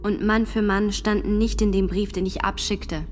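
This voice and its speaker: An actress playing a devout, steadfast young woman on trial in a historical radio play, answering earnestly and deliberately. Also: medium pitch, steady tone, a little mellow, slight background noise, lightly acted. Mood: firm, composed. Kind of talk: serious historical radio drama. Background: music.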